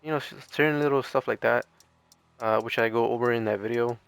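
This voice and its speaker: A man's voice: medium pitch, steady tone, a little fast, vocal fry, fairly bright tone, soft background music. A man doing casual gameplay commentary in an informal, rambling, hesitant way, his voice slightly strained as if starting to give out. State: losing his voice, mildly strained. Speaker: gaming video creator.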